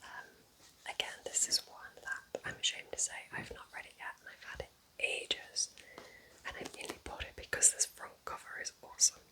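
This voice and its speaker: A woman whispers breathily in a gentle, chatty ASMR roleplay as a kind witch at home in her cabin. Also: normal speed, low-quality recording. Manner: gentle, chatty, informal asides.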